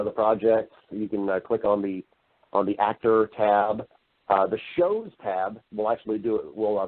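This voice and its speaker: Low-voiced man on a band-limited line. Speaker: podcast guest, voice-over artist and narrator. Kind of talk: casual interview chat. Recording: muffled band-limited internet radio call.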